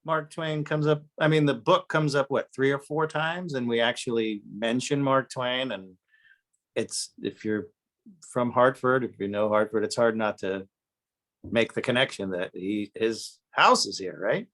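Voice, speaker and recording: A man's voice: medium pitch, unhurried, fairly bright tone. A man talking casually as a guest on a theatre panel.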